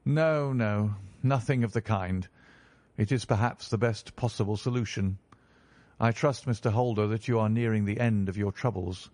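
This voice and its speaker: A man reading a story, voicing a stern, calm male detective in a low, measured, authoritative tone. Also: dry recording, natural voice. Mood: assured.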